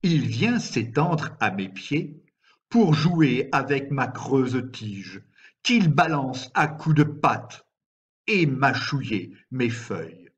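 Slow, expressive man reciting poetry. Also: medium pitch, breathy edge. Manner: measured, deliberate phrasing with frequent pauses. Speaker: poet reading own work. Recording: slightly dull, lo-fi recording.

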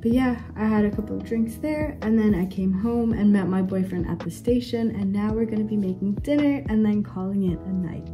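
A young woman talks casually into a phone held at arm's length at home, chatty and upbeat. Her throat is slightly sore from a cold she is getting over. Background music plays clearly underneath her voice. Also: mid-range voice, fairly quick, hardly any pauses, muffled recording. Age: young adult. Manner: chatty, upbeat, lots of "so yeah" and "like". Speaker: student vlogger. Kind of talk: casual day-in-the-life vlog. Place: home room with blinds and a bathroom. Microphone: phone or camera at arm's length.

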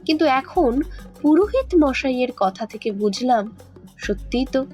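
A woman playing an awed, curious young woman who narrates a horror story in the first person, expressive and earnest. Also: high voice, a little slow, faint music behind. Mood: uneasy narration.

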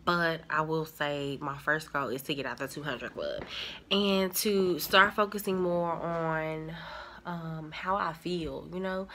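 A chatty woman in her thirties talks into a phone held at arm's length, her voice a bit congested from a cold. Her tone is bright and forceful, set a little low. Faint room noise sits behind her in a home dining room. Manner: chatty, addresses viewers as y'all. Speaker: vlogger. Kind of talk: casual chat to camera. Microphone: handheld phone at arm's length.

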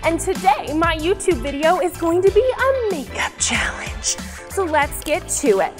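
A woman's voice: extremely high pitch, sing-song, a little slow, faint music behind, heavily acted. A woman playing a bubbly YouTuber girl. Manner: perky, exaggerated and sing-song. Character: bubbly young female YouTuber, excitable and dramatic. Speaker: children's show character. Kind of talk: kids' church show acting.

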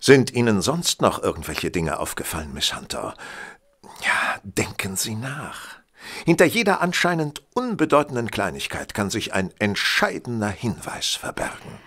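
An actor playing a brisk, driven gentleman detective in a German audio drama, speaking in a commanding, formal, theatrical way. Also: fairly low pitch, big pitch swings, exaggerated voice. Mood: keenly attentive.

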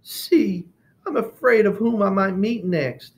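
A man's voice: sits high, slow pace, pitched above the performer's own voice. A man voicing a prim young lady cockroach. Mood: excited, anxious. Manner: dry, icy politeness. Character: proud young female cockroach, sharp-tongued.

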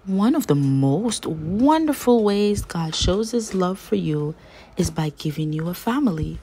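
A woman reading a children's picture book aloud as a storyteller, with gentle, deliberate, emphatic phrasing. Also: fairly low pitch, lively intonation, husky.

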